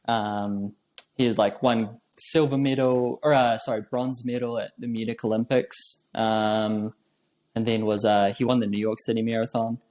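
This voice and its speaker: A young man from New Zealand talks easily in a casual interview, his voice sitting a little low. He keeps things loose, dropping in plenty of "like" and "um" as he goes. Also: moderate pace. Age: young adult. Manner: relaxed, with many fillers like "like" and "um". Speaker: guest trail runner.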